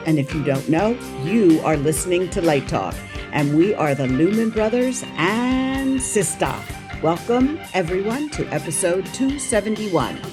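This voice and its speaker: A woman co-hosting a podcast, giving upbeat, welcoming introductions. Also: fairly low pitch. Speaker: podcast co-host.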